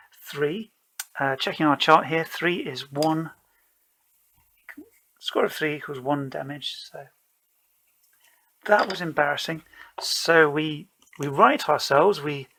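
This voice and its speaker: Guy playing a solo rpg, rambling and self-deprecating, bright voice, kinda gravelly, takes his time. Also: on the high side. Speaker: solo role-player narrating his game. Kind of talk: casual tabletop game narration.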